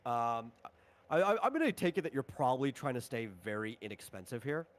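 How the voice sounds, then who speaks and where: A man's voice: mid-range voice, animated, a little fast. A man acting as game master, talking through the rules and equipment of a tabletop game in an unscripted way.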